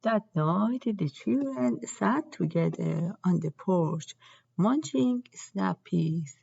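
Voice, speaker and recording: Slow-paced woman reading a children's story. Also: fairly low pitch, expressive, gravelly, a little mellow, husky, muffled recording. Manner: deliberate, acts out characters' lines. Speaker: storybook reader. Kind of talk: expressive children's read-aloud narration.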